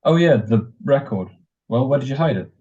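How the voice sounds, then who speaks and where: A man's voice: mid-range voice, expressive, moderate pace, mellow, close to an everyday voice. A man playing an affectionate, teasing young husband back from military service in a 1940s-style radio drama, wistful and a little hesitant. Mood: tender. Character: tender, playful young returned serviceman husband. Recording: dull muffled audio, faint music.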